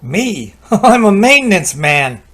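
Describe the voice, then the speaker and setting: A man's voice: high-pitched, sing-song, slow pace, fairly bright tone, full character voice. A man playing a cocky repairman in an old-time radio drama, talking in a casual, slangy, wisecracking way. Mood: breezy, teasing. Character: breezy, cocky male repairman hero and storyteller.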